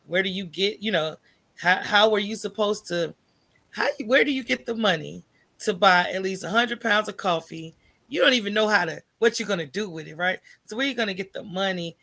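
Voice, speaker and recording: An American woman with a creaky, forceful voice speaks as a podcast guest. She tells personal stories in a loose, candid conversational style and often tags her points with a checking "right?". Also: medium pitch, a little fast. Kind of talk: conversational personal storytelling in an interview.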